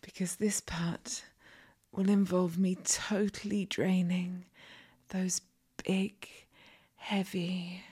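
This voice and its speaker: Breathy, slow-talking sultry masseuse acted by a woman. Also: lowish voice, little pitch movement, mellow, heavily acted. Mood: sultry, commanding. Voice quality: breathy, often whispering. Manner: slow, soothing, sultry delivery. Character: flirtatious, fawning adult masseuse doting on a client. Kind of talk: erotic audio roleplay, ASMR-like.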